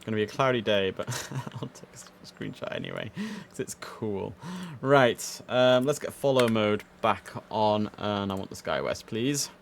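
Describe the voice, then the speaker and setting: A man's voice: on the low side, normal speed, vocal fry, hardly any pauses. A man giving casual live-stream commentary as he plays air traffic controller, easygoing and half talking to himself, slipping into clipped controller phraseology.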